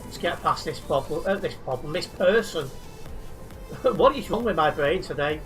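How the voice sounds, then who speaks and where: A man's voice: fairly high pitch, expressive, a little slow, a bit bright, faint music behind, low-quality recording. A man chatting casually to viewers while live-streaming a driving game, reading and answering chat, a little sleep deprived.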